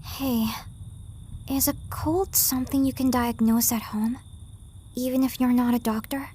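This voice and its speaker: A woman's voice: fairly high pitch, steady tone, a little slow, warm tone, a little hiss or hum, lightly acted. A woman voicing an anxious, stubborn young girl in an emotional, earnest manga dub performance. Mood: wary, blunt. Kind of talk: anime-style manga dub acting.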